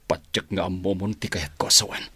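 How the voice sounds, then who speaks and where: A man's voice: low-pitched, steady tone, fairly quick, background noise, natural voice. An actor playing a bitter adult son who confronts his father, accusing and emphatic, in a radio drama. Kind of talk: Philippine radio drama acting.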